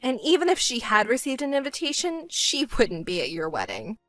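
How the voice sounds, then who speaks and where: A woman's voice: sits high, huge pitch swings, brisk, fairly bright tone, faint music behind, voice pushed up, exaggerated voice. A woman voicing a stern, fed-up sister with a grudge in a dramatized story, cold, firm and scolding. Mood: firm, resentful.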